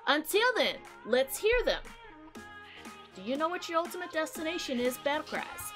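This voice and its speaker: A woman's voice: high voice, big pitch swings, moderate pace. A young woman hosting a gaming video, chatting casually and expressively about what she played. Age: young adult. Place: small indoor room. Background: music.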